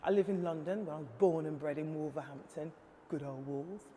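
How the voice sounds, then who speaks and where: A woman's voice: sits low, a little fast, dark tone, in a large hall, slight background noise. A woman in her fifties, an artist, introducing herself in a light-hearted way. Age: 50s.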